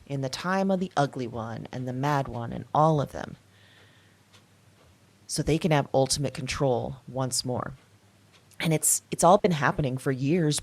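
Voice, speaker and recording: A woman playing an afraid, defiant older outcast hiding alone, in an intimate audio drama monologue with halting, emphatic phrases. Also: low voice, a little mellow, full character voice. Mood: fearful.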